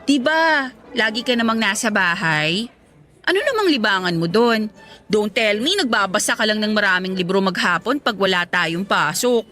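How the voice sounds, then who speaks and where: A woman's voice: medium pitch, expressive, moderate pace, pushed and bright, faint music behind. An actress playing a chatty, teasing woman in her twenties in a radio drama. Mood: gossipy, teasing. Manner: chatty, teasing, casual conversational. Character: playful teasing young female office mate, age twenty-three. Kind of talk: Tagalog radio drama dialogue.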